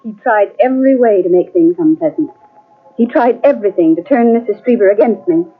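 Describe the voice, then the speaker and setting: An actress in an old-time radio mystery playing a guarded, principled secretary who speaks with formal restraint. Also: female voice, mid-range voice, animated, a little fast, soft background music, natural voice. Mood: grave recounting. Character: guarded, principled female secretary narrating her ordeal. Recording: tinny, band-limited old radio cassette transfer.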